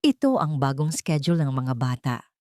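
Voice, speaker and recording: A woman's voice: very deep voice, very animated, moderate pace, a little mellow, no room sound, clean studio sound, voice pushed down. A woman with a Filipino accent voicing a haughty, strict child-therapy expert in an audiobook, commanding, clipped and condescending. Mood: strict, clinical. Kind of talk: audiobook character dialogue mixing Tagalog and English.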